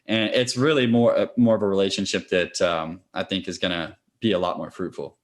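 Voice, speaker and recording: A man's voice: deep voice, steady tone, a little fast. A man speaking as a podcast interview guest, a real estate investor explaining things conversationally and step by step.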